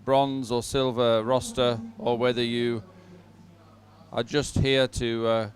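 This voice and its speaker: A man presenting live sports commentary, pausing as he goes and adding upbeat, sentimental asides. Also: mid-range voice, little pitch movement. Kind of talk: live commentary on a dragon boat regatta.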